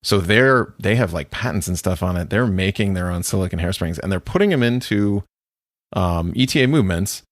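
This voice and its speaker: Low-voiced, relaxed male co-host; chatty, loose, dry booth sound. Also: brisk, dry recording. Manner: chatty, rambling, laughs often. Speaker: podcast co-host. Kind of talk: casual chat about watches.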